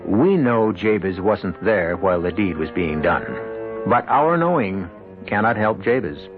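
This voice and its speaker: An actor as a sly, teasing storyteller host, narrating a radio drama theatrically. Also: male voice, lowish voice, lively intonation, a little slow. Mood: wry, suspenseful. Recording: dull 1970s radio recording with music.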